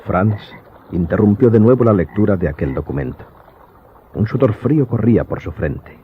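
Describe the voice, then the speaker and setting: A man's voice: on the low side, lively intonation, normal speed, slightly rough. A man narrating a scripted audiobook as the storyteller. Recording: narrow phone-like sound, faint music behind.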